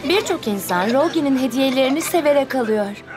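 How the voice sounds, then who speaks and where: A woman's voice: sits high, animated, brisk. A woman narrator reading scripted storytelling lines for a children's cartoon. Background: children's music.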